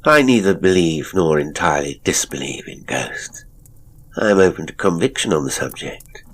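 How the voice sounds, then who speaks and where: A man voicing a measured, open-minded young Victorian gentleman in an audiobook, speaking formally and deliberately. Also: sits low, sing-song. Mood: measured, reasoning. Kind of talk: audiobook character acting.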